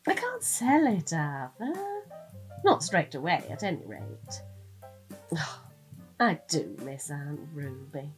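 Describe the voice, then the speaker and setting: A British actress playing a chatty middle-aged detective's wife in an audio drama, in natural domestic dialogue. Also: female voice, deep voice, big pitch swings, takes it slowly, music in the background, heavily acted. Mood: wistful.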